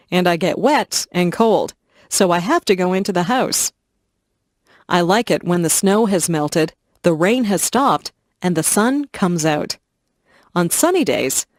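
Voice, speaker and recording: A woman slowly and clearly reading simple first-person stories for English learners. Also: on the low side, no echo, studio quality. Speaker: reader of English listening stories. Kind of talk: slow scripted reading for learners.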